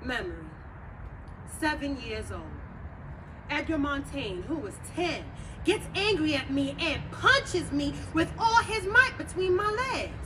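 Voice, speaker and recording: A high, girlish voice from a woman on stage plays a hurt, candid child telling memories. It is a live monologue with clear venue noise behind it. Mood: hurt, plaintive. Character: young girl, wounded and candid, recounting childhood memories. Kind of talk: dramatic monologue at a staged reading. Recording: noisy live venue recording, traffic nearby.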